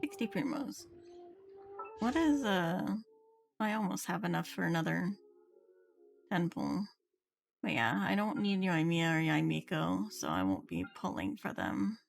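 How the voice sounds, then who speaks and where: A woman's voice: on the low side, sing-song, unhurried, creaky, long pauses. A woman game streamer chatting casually on a livestream, musing aloud in loose, half-finished thoughts. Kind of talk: casual livestream chat while gaming. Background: game music.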